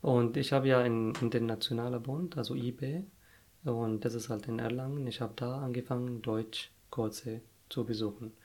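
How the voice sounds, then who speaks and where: A young man whose first language is Arabic, telling his story in an interview, pausing often to search for words. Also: medium pitch, fairly even intonation, darkish tone. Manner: halting, searching for words, frequent fillers. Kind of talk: casual personal story.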